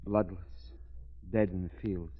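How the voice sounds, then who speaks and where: Superstitious manservant, lowish pitch, grave, fearful, ominous, 1930s radio melodrama, thin phone-like recording. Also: male voice, steady tone, a little slow. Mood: grim. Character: superstitious, fearful male servant, grimly resigned. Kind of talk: 1930s radio drama acting. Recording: muffled, tinny old radio recording.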